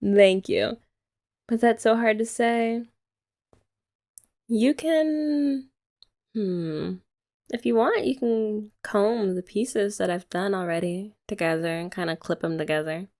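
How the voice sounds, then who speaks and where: Woman playing a chatty young girlfriend, playful and sweet, light fry in the voice, easy mid-range pitch. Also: slow pace, pauses often, a little mellow. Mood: tender. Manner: chatty, casual, with filler "like" and giggles. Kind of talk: ASMR roleplay.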